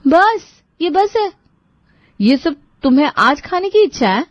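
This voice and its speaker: A woman's voice: high-pitched, sing-song, measured pace, no echo, lo-fi sound. A woman playing a resentful, put-upon young sister-in-law in a dramatized family story, sarcastic and grudgingly polite. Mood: exasperated. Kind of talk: dramatized storytelling with asides to listeners.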